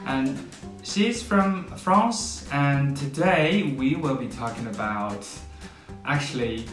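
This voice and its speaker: A man's voice: medium pitch, lively intonation, slow pace. A man hosting his vlog, interviewing a guest in a friendly, upbeat way. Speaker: vlog host. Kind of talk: casual interview. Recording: echoey room, mic at a distance, guitar music behind.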